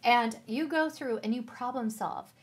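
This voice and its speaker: A woman with a fairly high, husky-edged voice co-hosts an education segment. She explains things casually, as a presenter guiding viewers through a resource. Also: measured pace.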